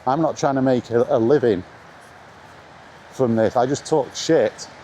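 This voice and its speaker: A man's voice: medium pitch, unhurried, warm tone. A middle-aged man giving a casual, blunt opinion monologue as a video blogger, repeating his points for emphasis while recording outdoors. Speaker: video blogger talking about retro games. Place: outdoors in the rain, walking. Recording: noisy outdoor recording with ambient noise.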